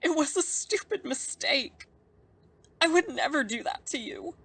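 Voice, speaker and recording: A woman's voice: high voice, animated, measured pace, a bit bright, full character voice. A woman playing an anguished, angry soldier in an audio drama, impassioned, pleading and accusing. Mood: pained pleading. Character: anguished, angry female soldier haunted by betrayal.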